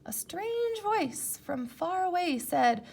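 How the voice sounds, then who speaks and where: Expressive woman storyteller, slow and high-pitched. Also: vocal fry. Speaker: storybook reader. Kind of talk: expressive children's read-aloud narration.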